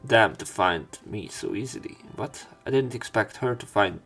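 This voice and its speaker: A man with a fairly low, creaky voice narrates a visual novel for a let's play. He reads the text aloud and slips into casual, wry asides, halting as he goes. Faint music plays behind him. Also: expressive, fairly bright tone. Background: faint game music.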